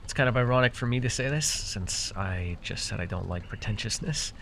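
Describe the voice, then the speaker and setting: A man's voice: lowish voice, lively intonation, brisk, vocal fry, fairly bright tone, slight background noise. A man playing a proud, easily exasperated magical herbalist in a fantasy roleplay, talking straight to the listener with theatrical airs and sheepish, stammering asides. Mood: pensive, confiding. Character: proud, easily exasperated young magical herbalist who gave away his name.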